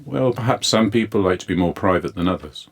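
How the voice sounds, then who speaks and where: An actor playing a measured, probing therapist in an audio drama, asking leading questions. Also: male voice, low voice, lively intonation, slightly rough, exaggerated voice.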